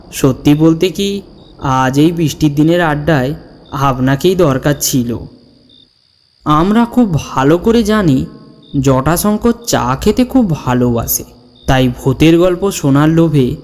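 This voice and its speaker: A man's voice: on the high side, animated, slow, pauses often, darkish tone. Eager male villager at a tea stall, done by the story's narrator, chatty and coaxing, reverberant storybook sound. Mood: eager, welcoming. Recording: long reverb over the voice. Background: background music.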